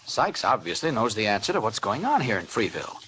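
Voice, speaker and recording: An actor playing an earnest, self-assured newspaper reporter who questions insistently in an old-time radio drama. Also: male voice, on the low side, wildly swooping pitch, fast talker, fairly bright tone. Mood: certain, grave. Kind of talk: 1940s radio drama acting. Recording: old radio recording, faint noise.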